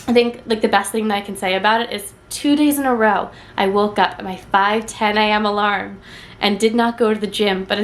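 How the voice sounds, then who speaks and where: A woman's voice: mid-range voice, echoey room. A young woman with a congested, nasal voice chatting casually about books she read, gushing with lots of ums and likes. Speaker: book vlogger. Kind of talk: casual book review chat.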